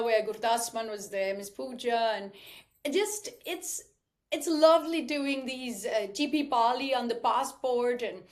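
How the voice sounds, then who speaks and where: A woman from Denmark, a Danish native speaker, talking earnestly as a guest singer in a podcast interview, often restarting her sentences. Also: on the high side. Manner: warm, heartfelt, with restarts.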